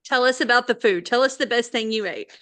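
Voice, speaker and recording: A woman's voice: fairly high pitch, fairly quick, fairly bright tone. A woman co-hosting a travel podcast in an informal way, often prompting and chiming in. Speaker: podcast co-host. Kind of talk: casual podcast chat about travel.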